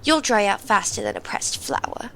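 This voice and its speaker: A woman's voice: medium pitch, animated, normal speed, vocal fry, a bit bright. A woman playing a teasing, cheeky young woman in an audio drama, with a playful, wryly dramatic manner.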